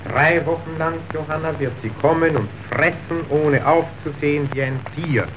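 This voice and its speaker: A man's voice: on the high side, moderate pace, natural voice. An actor playing a cynical, worldly businessman in a radio drama, speaking in a pointed, declamatory way. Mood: cool, probing. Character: cynical, worldly businessman, coolly demonstrating human wickedness. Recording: dull, noisy old radio recording.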